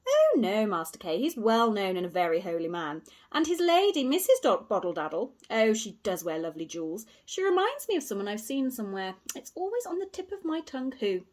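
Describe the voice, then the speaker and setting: A woman's voice: medium pitch, big pitch swings, brisk, few pauses, a little mellow, lightly acted. A woman voicing a chatty, gossiping female innkeeper who gushes about her guests. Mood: gushing. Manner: gushing, chatty.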